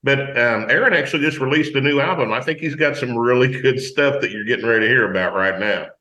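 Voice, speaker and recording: A man with a low voice, a country singer and guest on a talk radio show, speaking bluntly in folksy words. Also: quick pace, bright, forceful tone, roomy sound.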